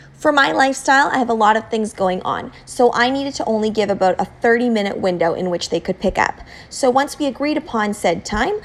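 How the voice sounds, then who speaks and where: A woman narrating scripted instructional tips as a home baker, explaining informally with clear emphasis on key points. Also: on the high side.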